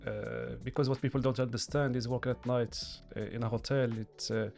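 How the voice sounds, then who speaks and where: A man in his thirties with a Moroccan accent talks calmly as a podcast guest in a small studio. His mid-pitched voice has a gravelly edge, and he pauses often to gather his thoughts. Faint music plays underneath. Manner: calm, measured, many hesitations. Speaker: podcast guest, hotel duty manager. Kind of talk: casual interview chat. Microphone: studio mic on boom arm, headphones.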